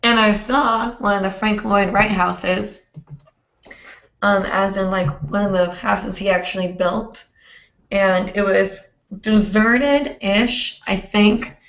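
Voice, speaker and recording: A young woman hosting a hoop dance livestream, hoarse and chatty, rambling with many fillers. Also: mid-range voice, steady tone, slow, a bit bright. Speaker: host. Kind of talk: casual livestream chat. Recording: roomy, dull lo-fi stream audio.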